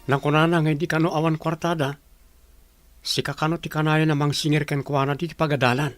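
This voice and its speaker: A man's voice: a little high, flat delivery, brisk, dry recording, faint music behind, close to an everyday voice. A man playing a plain-spoken village man in a radio drama. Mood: explaining.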